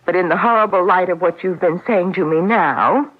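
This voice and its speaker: An actress playing an anxious, defensive wife in emotive 1970s radio drama style. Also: female voice, on the low side, moderate pace, natural voice. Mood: bitter, wounded. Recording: dull lo-fi 1970s radio broadcast.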